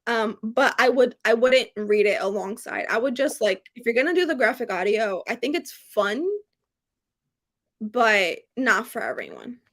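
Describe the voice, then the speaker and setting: A woman hosting a book readalong, chatting casually and excitedly, with an emphatic, gushing way of repeating herself. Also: on the high side, moderate pace. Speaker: host of a book readalong live stream.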